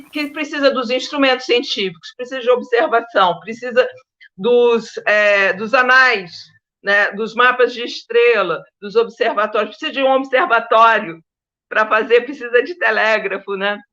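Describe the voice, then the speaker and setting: A woman's voice: on the high side, moderate pace, bright, forceful tone, highs cut off. A Brazilian woman, a historian of science, speaking informally as a panel guest in an emphatic, digressive, conversational manner, often checking that listeners follow. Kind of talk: informal academic panel talk.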